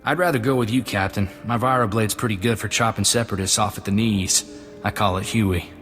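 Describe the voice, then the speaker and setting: An actor playing an eager, lighthearted young mercenary sidekick, cheeky and folksy, in a video game. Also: male voice, lowish voice, brisk, fairly bright tone, natural voice. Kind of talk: video game character dialogue. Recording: reverberant hall sound under music.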